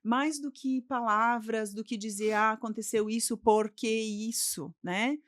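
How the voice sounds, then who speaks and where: Woman, middle-aged, from Brazil, podcast guest, reflective storytelling, fairly high voice, dry close sound. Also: fairly even intonation, measured pace, no room sound. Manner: reflective, often ending with "né?". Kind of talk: personal storytelling.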